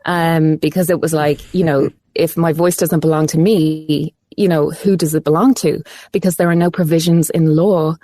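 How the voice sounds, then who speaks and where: An Irish woman speaks earnestly as an interview guest and often restarts while she searches for words. Her voice is very low and mellow for a woman. It is recorded dry and close, with little room sound. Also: fairly even intonation, normal speed, hardly any pauses, dry recording. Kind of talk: phone-in interview.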